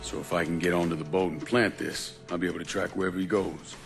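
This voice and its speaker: A grim mob hunter in a video game. He has a deep voice and few words to spare. He sounds blunt and relentless over background music. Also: steady tone, quick pace, vocal fry. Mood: terse, determined. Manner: terse, blunt. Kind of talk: video game cutscene acting.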